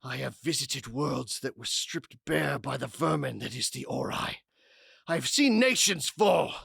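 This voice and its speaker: A man's voice: medium pitch, expressive, a little slow, gravelly, fairly bright tone, slightly rough, no echo, studio quality, full character voice. A man acting a proud, defiant vampire clan leader in an audio drama, speaking in a haughty, impassioned way. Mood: proud conviction. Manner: haughty, impassioned, theatrical delivery.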